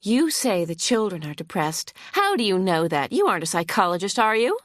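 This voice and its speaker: A woman's voice: medium pitch, big pitch swings, fairly quick, dry recording, clean studio sound, close to an everyday voice. A woman voicing a huffy, sarcastic female lawyer cross-examining a witness in an audiobook. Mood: huffy, badgering.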